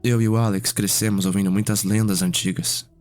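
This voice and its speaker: A man playing a genial, refined vampire nobleman with a gentle, measured manner, in an ASMR roleplay story. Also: fairly low pitch, little pitch movement, close to an everyday voice. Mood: reflective, wistful. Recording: music behind the voice.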